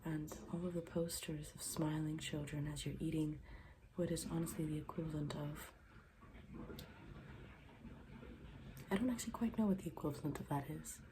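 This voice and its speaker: A woman playing a morbid, deadpan schoolgirl in an ASMR roleplay, speaking softly and formally, often slipping into a whisper. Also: low-pitched, noisy background, close to an everyday voice. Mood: dry, disdainful. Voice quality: soft, breathy, often dropping into whisper. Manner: deadpan, formal, deliberate wording.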